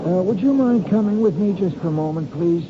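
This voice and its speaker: An actor playing a businesslike store detective, hesitantly polite but quietly firm, in 1970s radio drama style. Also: male voice, sits high, expressive, brisk, a crowd in the background. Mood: politely insistent. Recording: dull lo-fi radio recording, clear noise.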